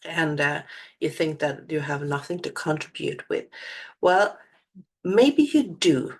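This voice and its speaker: A low-pitched woman with a Swedish accent talks slowly in a reflective solo monologue. English is her second language, and she pauses, repeats and searches for the right words as she goes. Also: animated, breathy edge. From Sweden. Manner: searching for words, many restarts and fillers. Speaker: spiritual channeler.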